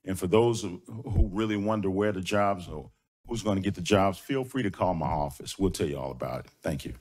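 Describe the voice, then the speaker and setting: Deep-voiced middle-aged man at a podium. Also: brisk, darkish tone. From Nashville, Tennessee. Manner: emphatic, rhetorical questions, frequent uhs. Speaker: public commenter, construction manager. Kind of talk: public comment speech. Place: large public meeting hall. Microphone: podium microphone.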